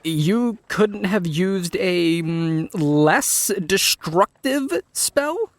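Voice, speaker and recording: A woman's voice: lowish voice, expressive, very slow, no echo, exaggerated voice. A woman playing a weary, sensible adventurer in an audio drama, dry and exasperated, with faint music.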